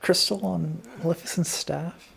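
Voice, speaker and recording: A man's voice: on the high side, steady tone, measured pace, vocal fry. A man commentating casually on the game he plays, sarcastic and joking, with some swearing. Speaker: player and co-commentator on a gameplay video. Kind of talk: casual gaming commentary chat. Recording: video game music behind.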